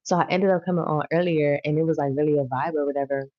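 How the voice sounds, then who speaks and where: A woman's voice: sits low, steady tone, quick pace, no echo, dull audio. A woman hosting a spiritual tarot livestream, chatting casually and slangily straight to her viewers. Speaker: tarot reader and livestream host.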